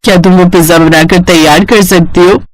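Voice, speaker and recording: A woman's voice: on the low side, fairly even intonation, normal speed, no room sound, natural voice, poor audio. A woman voicing a coaxing older sister-in-law, sweetly persuasive, in a cartoon.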